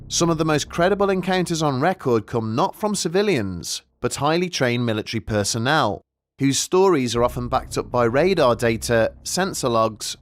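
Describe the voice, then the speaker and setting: A man reading scripted mystery documentary narration in a measured, deliberate way with dramatic pauses. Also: medium pitch. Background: background music.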